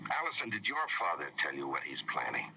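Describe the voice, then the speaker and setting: An actor playing a troubled rising executive, polite, hesitant and evasive, in an old radio drama. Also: male voice, rumbling low, wildly swooping pitch, creaky, bright, forceful tone, full character voice. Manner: hesitant, halting. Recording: dull, noisy old radio recording.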